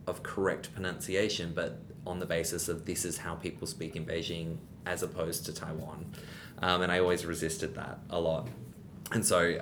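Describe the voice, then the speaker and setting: A young adult man, a guest in a casual interview, talking in a rambling way with many restarts and fillers. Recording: rumbling background noise.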